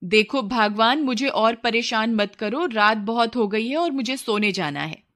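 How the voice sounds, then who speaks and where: A woman's voice: fairly high pitch, unhurried, a bit bright. Woman acting a humble, weary milkman husband. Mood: weary, pleading. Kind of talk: children's cartoon story acting.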